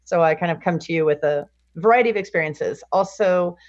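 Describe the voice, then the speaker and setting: A middle-aged woman from California speaks in a lowish voice, calm and explanatory as a guest on a live online session. The sound is a bit dull and lo-fi, dry and close over a video call. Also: fairly quick, dry recording. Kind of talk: live online interview presentation.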